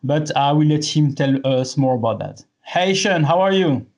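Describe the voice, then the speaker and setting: Friendly halting male podcast host. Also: on the high side, expressive, darkish tone. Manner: friendly, halting, many fillers and restarts. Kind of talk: podcast interview.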